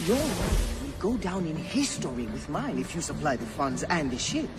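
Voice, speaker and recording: An actor playing a bold, persistent scientist pressing for funds in a video game, formal and persuasive. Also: male voice, fairly high pitch, very animated, moderate pace, recorded from a distance, music in the background. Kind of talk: dramatic video game acting.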